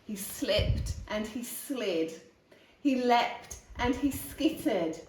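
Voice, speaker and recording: A woman hosting storytime, reading a picture book aloud in an expressive way, stretching key words for young listeners. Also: fairly high pitch, very slow. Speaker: storytime host reading a picture book to viewers and her dog. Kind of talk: animated children's story reading. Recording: roomy echo, distant mic.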